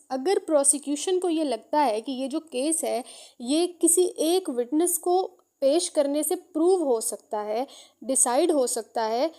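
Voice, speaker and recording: High-pitched Pakistani woman lecturing on law. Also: warm tone. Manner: explanatory, repeats key points. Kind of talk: lecture, reading out and explaining statutes.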